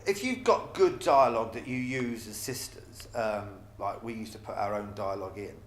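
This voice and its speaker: A man's voice: lowish voice, moderate pace. A middle-aged man in a casual interview about stage work, often repeating and restarting his phrases. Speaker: pantomime dame performer. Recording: echoey room with faint background noise.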